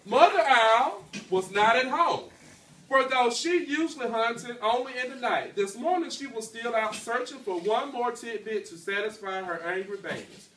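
A man's voice: extremely high pitch, expressive, normal speed, fairly bright tone. A man in his thirties reading aloud to a class as their teacher, often stopping to check they understand. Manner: checks understanding often, tagging phrases with okay. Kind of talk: classroom read-aloud with questions to pupils. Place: school classroom, indoors. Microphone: phone held by someone across the room. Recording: echoey noisy classroom from a distance, phone recording.

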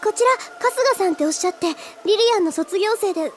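A woman's voice: extremely high pitch, fast, slight background noise. An actress playing an earnest, easily flustered teenage schoolgirl in an anime audio drama, with a hesitant, stammering manner. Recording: music behind the voice. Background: background music.

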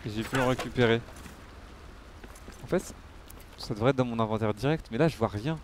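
A man's voice: mid-range voice, brisk, slight background noise. A man giving casual live game commentary, muttering to himself and swearing freely. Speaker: game streamer.

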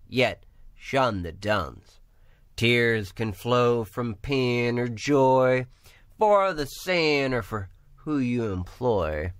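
Slow, low-voiced male spoken word poet. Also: fairly bright tone, no echo, clean studio sound. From Northern Ireland. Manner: measured rhythmic recitation with rhymes. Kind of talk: spoken word poetry performance. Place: small room or pub.

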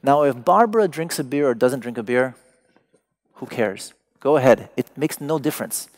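A man whose mother tongue is Persian gives a conversational public lecture in English from a stage, searching for words and stressing them deliberately. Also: medium pitch, lively intonation. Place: large dim hall with stage and seated audience.

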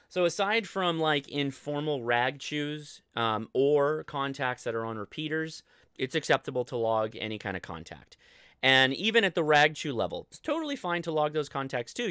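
American male host, forties, for an informal explainer stream; clean, smooth voice, dry close sound. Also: mid-range voice, a bit bright, no room sound. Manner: informal, conversational, thinking aloud. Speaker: host of a ham radio show. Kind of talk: casual livestream explainer. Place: indoor home studio.

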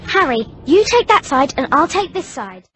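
A woman's voice: high-pitched, sing-song, normal speed, over loud music. A woman playing a bookish, bossy young witch in a video game, with an English accent and a prim, emphatic manner. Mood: urgent. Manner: prim, emphatic, know-it-all. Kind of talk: video game cutscene acting.

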